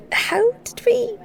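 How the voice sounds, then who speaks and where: A woman's voice: very high voice, gravelly, heavily acted. A woman playing a flustered, sweet young Scottish shepherdess in an intimate audio roleplay, bashful, stammering and rambling.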